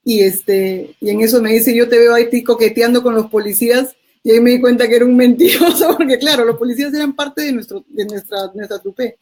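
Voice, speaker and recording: Animated woman telling a story. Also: on the high side, fairly quick, hardly any pauses. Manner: animated, laughing as she tells the story. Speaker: journalist guest. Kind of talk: conversational storytelling.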